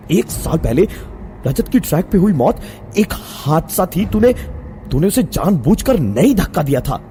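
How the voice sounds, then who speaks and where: A man's voice: on the high side, warm tone, a little hiss or hum, pitched above the performer's own voice. A man voicing an angry, exasperated young male friend in a horror story, agitated and insistent, repeating words for emphasis.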